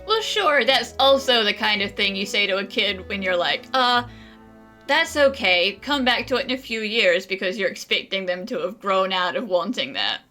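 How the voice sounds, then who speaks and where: A woman's voice: mid-range voice, animated, moderate pace, pushed and bright, soft background music. A woman commenting casually on a video game playthrough in a jokey, giggly, conversational way. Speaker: gaming video host.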